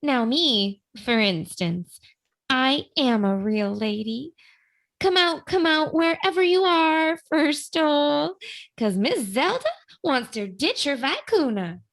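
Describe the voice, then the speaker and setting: A woman's voice: high-pitched, expressive, takes it slowly, a bit bright, heavily acted. A woman playing a haughty, jittery female burglar with grand, affected diction in a comic radio play. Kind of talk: comic old-time radio play acting.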